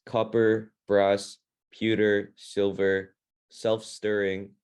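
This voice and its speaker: A man's voice: lowish voice, level tone, extremely slow, darkish tone, clean tone. A man leading a reading club, reading a children's fantasy novel aloud in a plain, informal way.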